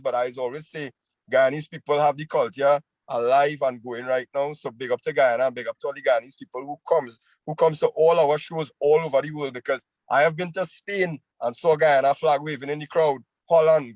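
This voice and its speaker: A man's voice: fairly high pitch, no echo, rough recording. A man with a Trinidadian accent, a singer speaking earnestly as a guest on a video call, often repeating words as he goes. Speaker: chutney soca singer, guest. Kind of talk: casual interview over a video call.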